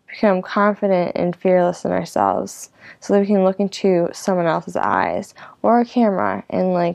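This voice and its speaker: Lowish woman w lots of vocal fry, dry close sound, rambly vlog chat, says like and you know a ton. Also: a little mellow, no room sound. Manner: informal, meandering, filler-heavy with frequent "like" and "you know". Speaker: host of her own online show. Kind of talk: casual rambling vlog reflection.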